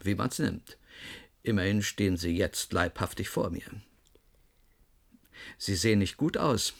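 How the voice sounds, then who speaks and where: An actor playing a cold, sardonic professor in power in a German radio drama, measured and condescending, with a gravelly voice. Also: male voice, low voice, lively intonation, heavily acted. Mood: cold, mocking.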